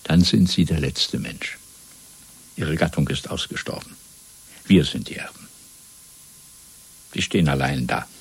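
A man playing a cold, fanatical Party interrogator in a radio drama, speaking in a measured, domineering, rhetorical way. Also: a bass voice, vocal fry, slightly rough, heavily acted. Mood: probing, scornful. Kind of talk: German radio drama acting.

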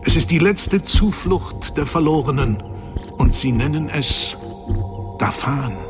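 An actor playing an awed, solemn narrator in a science fiction radio drama, speaking poetically. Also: male voice, fairly high pitch, expressive, takes it slowly, over music. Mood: solemn awe. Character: awed male narrator of a vast space colossus.

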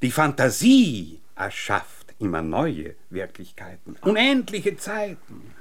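An eccentric scientist in an absurd radio comedy. He lectures in a grand, theatrical way, his medium-pitched voice rising and falling in lively sweeps. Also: takes it slowly, full character voice. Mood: solemn, poetic. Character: eccentric, naked time-theorist scientist back from apparent death. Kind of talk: absurd comic radio drama acting.

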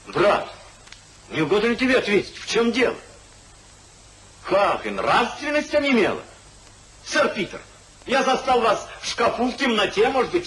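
An actor playing a rakish, witty young gentleman with a teasing, theatrical manner in a 1950s radio comedy. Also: high voice, exaggerated voice, rough recording. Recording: hissy 1950s radio play recording.

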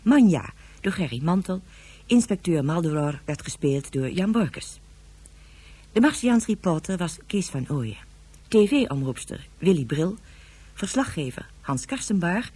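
A woman's voice: on the low side, very animated, a little slow, dark tone, husky, no echo. A woman formally reading a scripted closing announcement as an announcer for a radio drama.

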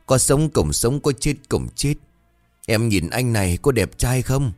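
A man's voice: mid-range voice, sing-song, a little slow, a little mellow, no room sound, faint music behind, voice pushed up. A man voicing a half-mad, drunk young man rambling lovesick to his dead bride. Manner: rambling, slurred pleading.

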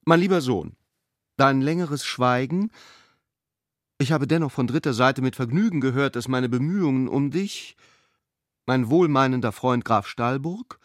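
Elderly father for radio drama, played by a male reader: pedantic, formal, admonishing, long-winded, medium pitch, very dry sound. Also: no echo, lightly acted. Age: older. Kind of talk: reading a letter aloud in a radio play.

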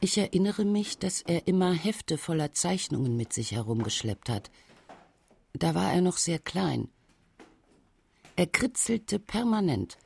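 A reflective, tender elderly woman for a radio documentary. Her voice is heavily gravelly and husky, and she speaks slowly, as a voice-over translation. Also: on the low side, very animated, darkish tone, full character voice. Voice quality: husky, creaky.

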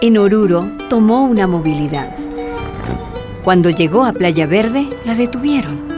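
A woman's voice: medium pitch, expressive, unhurried, loud music behind the voice. Woman narrating a radio drama.

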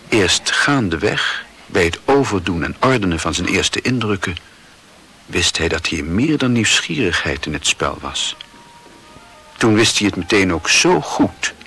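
Casting: male radio drama narrator, very low and husky, reading literary prose with a bright edge.

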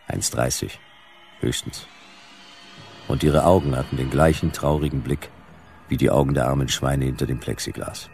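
An actor playing a laconic, world-weary private detective in a dystopian radio drama, speaking dryly with a gravelly voice. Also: male voice, rumbling low, darkish tone, heavily acted. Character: hard-boiled, sardonic male private detective in dystopian future. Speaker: narrator and lead character. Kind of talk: noir radio drama narration. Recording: music behind the voice.

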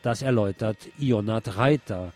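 A man's voice: on the low side, moderate pace, a little mellow. A man reading scripted news narration and commentary as a presenter.

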